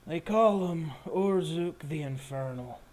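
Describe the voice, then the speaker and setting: A man voicing a measured warrior leader with grudging respect and an understated, hesitant way of talking in a tabletop game. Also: a little high, darkish tone, slight background noise. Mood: measured, guarded.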